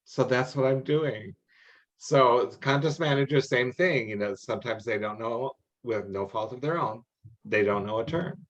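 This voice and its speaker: An older man answering questions in an informal meeting, explaining rules in a halting, anecdotal way. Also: mid-range voice. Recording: dull, lo-fi online call audio.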